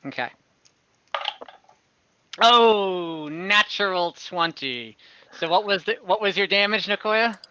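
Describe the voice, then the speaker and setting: A man's voice: sits high, animated, takes it slowly, pauses often, bright, forceful tone, clean tone, muffled recording. A man acting as game master for an online tabletop game, explaining rules with hesitant restarts and fillers. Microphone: home mic on an online call.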